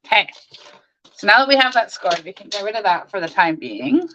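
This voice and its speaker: A hoarse woman hosting a live crafting stream, chatting and greeting viewers by name as she works. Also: mid-range voice, animated, fairly quick, bright, forceful tone, dull audio, a little hiss or hum. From Canada.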